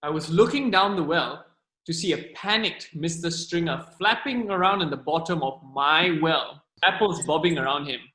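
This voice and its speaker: A man's voice: fairly high pitch, pushed and bright. An actor playing an indignant orchard owner telling the court what he saw. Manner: indignant, vivid storytelling. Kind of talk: amateur radio drama. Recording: echoey room.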